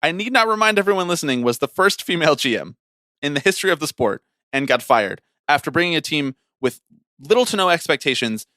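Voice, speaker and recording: A man's voice: fairly high pitch, lively intonation, quick pace, pushed and bright, no echo. A man co-hosting a casual sports podcast, telling stories in a wry, opinionated way. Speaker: podcast co-host.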